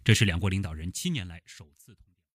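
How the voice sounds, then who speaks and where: A formal news announcer in a radio drama. He reads briskly in a fairly low voice. The sound is dry and close. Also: lively intonation, no room sound.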